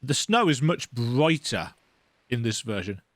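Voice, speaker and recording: A man's voice: mid-range voice, expressive, measured pace, dry recording. A man hosting a let's play, giving casual, rambling, conversational commentary on a video game.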